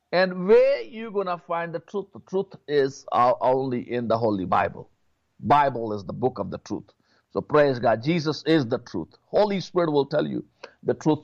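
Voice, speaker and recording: Earnest Pakistan-born pastor, dull lo-fi audio. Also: male voice, on the high side. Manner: earnest, repeats words, many "you know"s. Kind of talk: impassioned prayer appeal.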